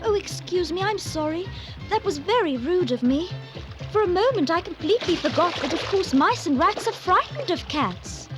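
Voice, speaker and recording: A woman voicing a curious, polite little girl in a cartoon, with a proper, expressive and dramatic manner. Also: very high voice, moderate pace, music in the background. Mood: polite, apologetic. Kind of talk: animated cartoon dub acting.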